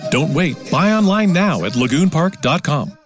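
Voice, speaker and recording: A man announcing a scripted radio ad with an energetic, promotional delivery. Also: on the high side, moderate pace, music in the background.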